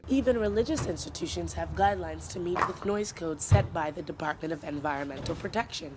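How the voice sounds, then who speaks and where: A woman reporter reading scripted news narration in a measured, even way. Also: on the low side, heavy background noise.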